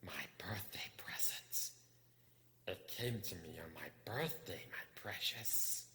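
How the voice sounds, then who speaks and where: A man's voice: low voice, animated, bright, forceful tone, full character voice. A man voicing a wretched, wicked, obsessive cave creature who hisses and whispers to himself in a strained voice, as in a storybook reading. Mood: covetous whispering. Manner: talks to himself, drawn-out muttering. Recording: echoey room with long reverb.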